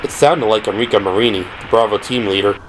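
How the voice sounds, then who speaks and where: Measured male audio drama narrator, noisy background. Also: on the low side. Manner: measured, scripted storytelling.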